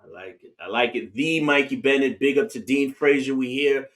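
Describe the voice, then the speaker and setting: A man hosting a music show interview, asking questions and giving encouraging shout-outs. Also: mid-range voice, moderate pace. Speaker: interview host.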